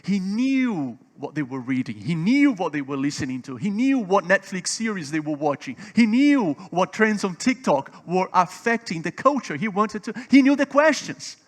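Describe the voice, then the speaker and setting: Guy giving a seminar talk, bit high pitched, gets loud and emphatic, asks the crowd stuff. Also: sing-song, moderate pace, hardly any pauses. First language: Portuguese. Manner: emphatic, swelling louder on key points; asks the audience questions. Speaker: seminar speaker. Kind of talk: conference seminar teaching.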